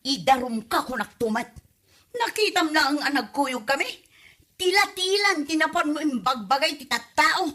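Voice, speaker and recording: A woman plays a hot-tempered married woman in a comedy drama. Her voice is high and bright, and she scolds with exclamatory, confrontational force. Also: expressive, moderate pace. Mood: furious. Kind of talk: comedy drama acting for a podcast.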